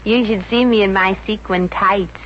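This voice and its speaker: A woman's voice: mid-range voice, steady tone, moderate pace, close to an everyday voice. An actress playing a wry, slangy, good-hearted young American showgirl secretary in a 1950s radio comedy. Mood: wry, breezy. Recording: muffled, noisy 1950s radio recording.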